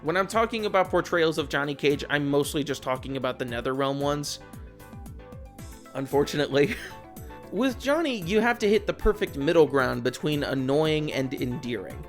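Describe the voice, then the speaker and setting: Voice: mid-range voice, animated, moderate pace. A video essayist delivering scripted commentary with informal, wry asides. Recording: music behind the voice. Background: background music.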